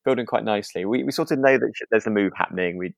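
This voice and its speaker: Male podcast co-host, slightly deep, closely recorded dry sound, thoughtful and halting in conversation. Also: creaky, no room sound. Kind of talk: relaxed conversational discussion.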